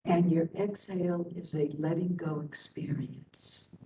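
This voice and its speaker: A woman instructor leading a guided meditation in a soothing manner, speaking in short phrases with long pauses. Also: steady tone, mellow. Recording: roomy, distant mic, faint rumbling noise.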